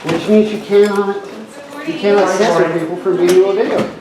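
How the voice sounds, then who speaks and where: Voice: medium pitch, fairly even intonation, a little fast, a crowd in the background. A member of the public arguing indignantly with officials, insistently repeating objections. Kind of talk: confrontational argument at a meeting entrance. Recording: echoey, clearly noisy on-location recording.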